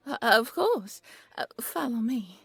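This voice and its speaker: Woman doing a shy bunny girl receptionist for audio roleplay, breathy n stammery, super flustered, close dry recording. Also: fairly high pitch, big pitch swings, takes it slowly, dry recording, full character voice. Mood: shy, eager. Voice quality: breathy, soft. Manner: nervous, stammering, easily flustered. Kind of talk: audio roleplay character acting.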